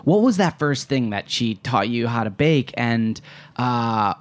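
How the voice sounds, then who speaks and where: A man hosting a podcast, asking long, winding interview questions with hesitant pauses and fillers. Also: medium pitch, lively intonation. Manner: hesitant, searching for words, many fillers. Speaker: podcast host.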